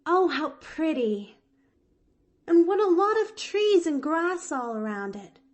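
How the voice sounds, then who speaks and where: A woman's voice: extremely high pitch, very animated, slow, pitched above the performer's own voice, exaggerated voice. A woman voicing a cheerful, eager little orphan girl who talks breathlessly and gushes. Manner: breathless, gushing, chattery. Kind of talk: audiobook character voice.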